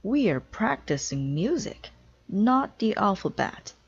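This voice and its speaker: Lady doing strict fed-up conductor guy from a kids book, slow, stern, husky, slightly dull sound. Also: medium pitch, sing-song, pitched above the performer's own voice, exaggerated voice. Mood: stern, impatient. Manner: stern, emphatic, impatient. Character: exasperated, strict male orchestra conductor. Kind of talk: children's storybook read-aloud.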